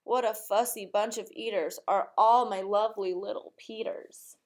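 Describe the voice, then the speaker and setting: A woman's voice: medium pitch, fairly even intonation, normal speed, a little mellow, slight background noise, natural voice. A woman voicing a fond, much-tried mother of seven in a rhyming storybook, with an exclaiming delivery. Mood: weary, feeble. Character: weary, fond mother of seven fussy children.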